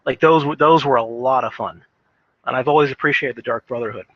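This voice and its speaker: A man's voice: mid-range voice, fairly quick, no echo, lo-fi sound. A man giving casual, unscripted video game commentary in a rambling, emphatic, earnest way, often stammering over repeated words.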